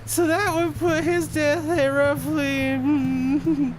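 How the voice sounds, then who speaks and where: A woman's voice: sits high, flat delivery, slow, soft background music. A woman playing a polite, deferential junior police officer in an audio drama. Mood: dutiful. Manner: polite, dutiful.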